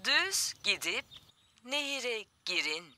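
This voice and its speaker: A woman voicing a polite talking car computer in a children's cartoon, giving directions like a measured announcer. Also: fairly high pitch, sing-song, heavy background noise. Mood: matter-of-fact.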